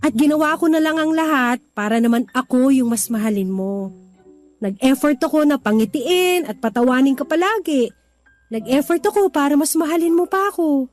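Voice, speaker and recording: A woman's voice: sits high, lively intonation, moderate pace, a little mellow, faint music behind. A woman voicing a young woman earnestly confessing her long-held love, in radio drama style. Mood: tender. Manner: tender, earnest.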